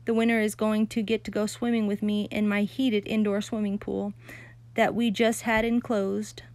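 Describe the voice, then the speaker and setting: A woman's voice: mid-range voice, little pitch movement, a little mellow, natural voice. A woman voicing a spoiled, showy little rich girl who gushes and drags out her words. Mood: boastful. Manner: gushing, preening, drawn-out.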